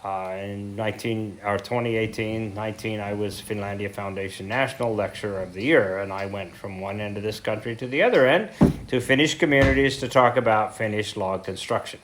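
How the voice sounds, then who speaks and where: A man in his seventies with an American accent, speaking informally as a heritage lecturer, telling his story reflectively with pauses and self-corrections. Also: lowish voice, noisy background. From central Idaho, United States. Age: 70s. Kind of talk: informal talk to a local group.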